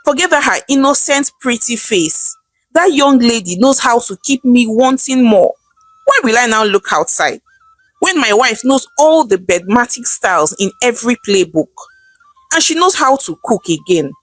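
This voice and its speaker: A woman's voice: fairly high pitch, very animated, moderate pace, no room sound, faint music behind. A woman with a Nigerian accent voicing a boastful, smitten young husband in a folktale, bragging cockily. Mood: smug delight. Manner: cocky, bragging banter.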